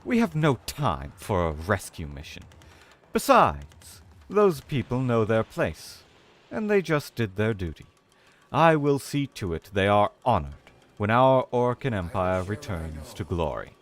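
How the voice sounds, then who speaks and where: A man's voice: on the low side, very animated, measured pace. Casting: man playing an imperious noblewoman of a fallen empire, dismissive and commanding, swooping delivery for dramatic story reading. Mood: dismissive, lofty. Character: haughty, commanding aristocratic female executor of a fallen empire.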